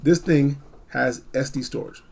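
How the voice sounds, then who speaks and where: A man hosting a casual, unscripted gadget review, talking in an animated, emphatic way and repeating phrases for effect. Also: medium pitch, unhurried, vocal fry, slightly rough. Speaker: product reviewer hosting a video.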